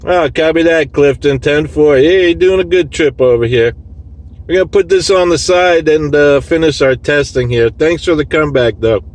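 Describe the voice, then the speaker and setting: A slightly high-voiced man makes casual CB radio calls from a vehicle. He speaks in clipped operator lingo, with clear radio and vehicle noise around him. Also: normal speed. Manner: clipped radio lingo, repeats call sign. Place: inside a vehicle, mobile unit. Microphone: handheld phone recording in the vehicle. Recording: noisy phone recording of CB radio session.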